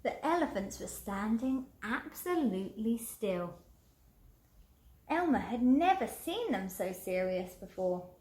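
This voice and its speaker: Slow-paced woman reading in an echoey room. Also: a little high, big pitch swings, a little mellow. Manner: slow, deliberate, asks the listener questions. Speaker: children's storybook reader. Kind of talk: expressive picture-book read-aloud with asides to young listeners.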